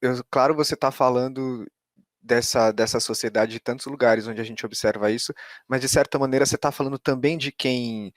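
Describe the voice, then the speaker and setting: A Brazilian man hosts a conversational interview in a mid-pitched voice. He feels his way through reflective questions, and the sound is dry, with no room echo. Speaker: podcast interviewer.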